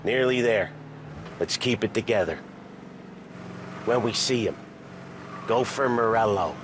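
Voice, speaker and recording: An actor playing a cool-headed mobster who speaks calmly and tersely. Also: male voice, fairly low pitch, measured pace, lightly acted. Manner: calm, terse. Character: steady male mobster, cool-headed crew leader. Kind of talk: video game cutscene acting. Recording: game cutscene with car noise.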